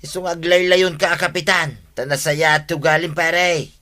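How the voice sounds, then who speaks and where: A grateful villager, with a fairly high, bright and forceful voice. His delivery is that of rural radio drama. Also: steady tone, normal speed. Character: grateful village man recovering from illness. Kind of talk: Ilocano radio drama acting.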